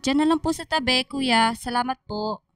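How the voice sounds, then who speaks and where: A woman acts out a loving, remorseful young daughter with a Filipino accent. Her voice is high and pleading, recorded very dry with music underneath. It suits a sentimental radio drama. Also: normal speed, fairly bright tone, clean tone, no room sound. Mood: worried, subdued.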